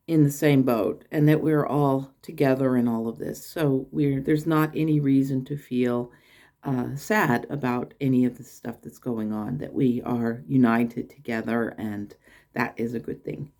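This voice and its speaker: Low, mellow-voiced American woman rambling. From United States. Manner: rambling, many fillers and restarts; acts out quoted people in a higher voice. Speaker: channel host. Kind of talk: casual vlog storytelling.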